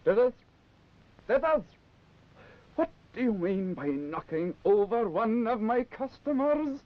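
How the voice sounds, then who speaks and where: A man's voice: high voice, very animated, slow, heavily acted. An actor playing an irritable, blustering middle-aged male boss in a 1960s children's puppet show. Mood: angry. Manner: stammering, blustering, exasperated. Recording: dated, muffled 1960s TV soundtrack.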